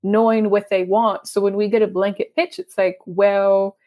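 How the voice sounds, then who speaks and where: A woman's voice: mid-range voice, level tone, moderate pace, warm tone. A young adult woman from Texas with a Texan accent, a freelance writer chatting animatedly and laughing often in an interview. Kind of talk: conversational interview.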